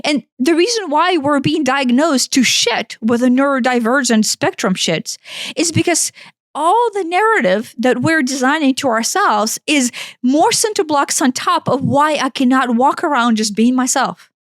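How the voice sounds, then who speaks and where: Emphatic Ukrainian-accented woman, high voice. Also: expressive, normal speed, dry recording. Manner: emphatic, blunt, swears freely. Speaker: podcast co-host. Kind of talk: impassioned self-help talk.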